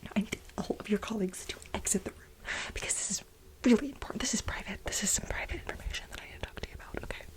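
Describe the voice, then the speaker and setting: A woman playing a frantic, aggrieved female assistant in a soft-spoken ASMR role play, breathy and mostly whispered, with pleading, stammering, melodramatic repetition. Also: medium pitch, racing, lightly acted. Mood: urgent, insistent.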